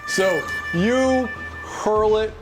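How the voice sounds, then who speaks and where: A man acting as game master, improvising narration in a casual, halting, colloquial way. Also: high voice, over loud music. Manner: halting, colloquial descriptions with spoken sound effects.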